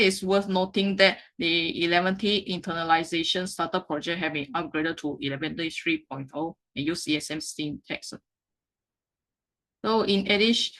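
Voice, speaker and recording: A woman, a Mandarin speaker, giving a slide presentation with frequent halting self-corrections. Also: on the low side, a bit bright. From Malaysia. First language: Mandarin Chinese. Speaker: meetup speaker.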